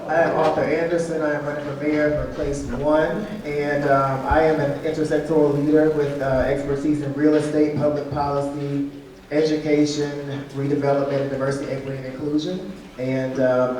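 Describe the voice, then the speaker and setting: A man delivers a campaign statement in an even, hesitant manner, pausing on fillers. His pitch stays flat and level throughout. He is heard from afar in a strongly echoing hall. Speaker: mayoral candidate. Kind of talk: opening statement speech. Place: civic center event room. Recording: echoey hall, far mic, faint noise.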